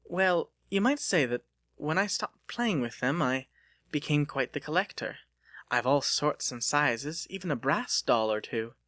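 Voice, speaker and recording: A man's voice: fairly high pitch, lively intonation, unhurried, fairly bright tone, no room sound, clean studio sound. Man voicing a coy, genteel young lady. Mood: coy pride. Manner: genteel, coquettish phrasing. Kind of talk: dramatic audiobook character reading.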